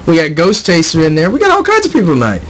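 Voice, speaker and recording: A jokey male radio host with a fairly high voice that swings all over the place as he talks. He rambles through loose banter and laughs often. A faint hum of noise sits behind him. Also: huge pitch swings, quick pace, a bit bright. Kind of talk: casual banter on a live internet radio show.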